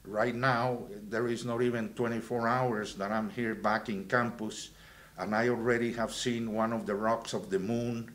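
A man, from Venezuela and raised in Guatemala, recalling his student years in a halting way with many fillers and restarts. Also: medium pitch, little pitch movement, fairly bright tone. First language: Spanish. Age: 70s. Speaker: alumnus interviewee. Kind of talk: reminiscing interview. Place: indoors, plain backdrop.

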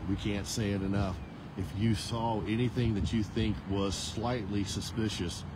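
A veteran male field reporter talking unscripted on scene, halting and repeating words with many fillers, filmed on his own phone in an outdoor parking lot. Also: lowish voice. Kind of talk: live on-scene news report. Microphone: phone held by the reporter. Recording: noisy outdoor phone recording. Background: outdoor traffic noise.

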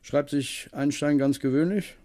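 A man acting a practical, fatherly go-between in a radio play, gentle and persuasive. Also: on the high side, fairly even intonation, measured pace, slight background noise, lightly acted.

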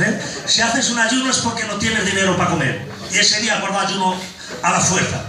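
A middle-aged pastor preaches to a congregation, high-pitched and fervent, spicing his sermon with homely diminutives. He is heard a distance in a booming, echoey church hall. Music plays softly behind him. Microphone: handheld mic, filmed from the pews.

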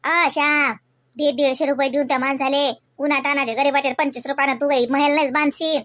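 A woman's voice: sits high, little pitch movement, fairly quick, fairly bright tone, no echo. A woman playing a kindly, talkative, scolding village mother in a comedy cartoon, with a Varhadi Marathi accent. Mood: indignant, chatty. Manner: homely, talkative, emphatic.

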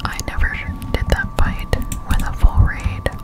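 A woman speaks entirely in a breathy whisper, close to the mic. She gives casual, musing ASMR commentary as she plays a game, in a halting flow. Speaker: gaming streamer, ASMR creator. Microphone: close-up microphone.

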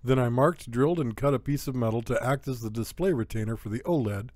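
A man's voice: mid-range voice, fast, darkish tone, dry recording, studio quality. A man reading scripted technical narration as an electronics tutorial narrator, in a methodical, matter-of-fact way.

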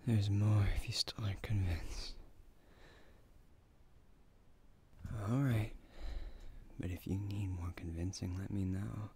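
A man playing a tender, bashful, nerdy young husband in an intimate ASMR roleplay, speaking softly and breathily, often half-whispering. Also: on the low side, steady tone, normal speed, slightly rough. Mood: bashful, teasing. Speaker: voice actor in an audio roleplay.

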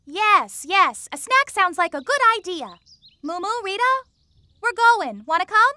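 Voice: very high voice, very animated, unhurried, bright, forceful tone, full character voice. An actor voicing a sensible but nervous young animal in a children's cartoon, earnest and precise.

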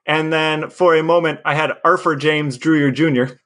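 A man's voice: a little high, fairly even intonation, normal speed, fairly bright tone. A man co-hosting a podcast, chatting casually and telling personal stories. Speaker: podcast host. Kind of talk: casual conversational podcast talk.

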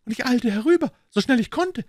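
A man voicing a passionate young Italian woman, breathless and exclaiming in a high put-on voice. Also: lively intonation, fairly quick, a little mellow, no room sound, clean studio sound. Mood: anxious. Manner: breathless, exclaiming, rising falsetto.

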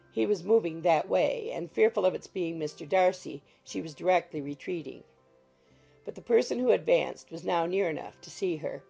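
Very creaky, husky female audiobook narrator. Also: lowish voice, mellow, poor audio. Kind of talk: scripted literary narration of a classic novel. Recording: music behind the voice. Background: music.

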